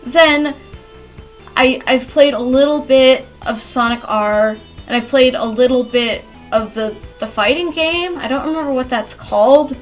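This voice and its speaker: High-voiced young woman rambling casually over music. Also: a little slow. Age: young adult. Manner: giggly, animated, self-deprecating. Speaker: YouTube artist telling personal stories. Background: background music.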